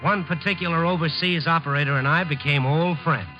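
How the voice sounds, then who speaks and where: A man's voice: fairly high pitch, brisk, lightly acted. An actor playing a wry, guarded newspaperman with a dry, clipped manner in a 1950s radio drama. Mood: reflective, wry. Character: wry, guarded male Chicago newspaperman narrating his romance. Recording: muffled 1950s radio broadcast, music behind.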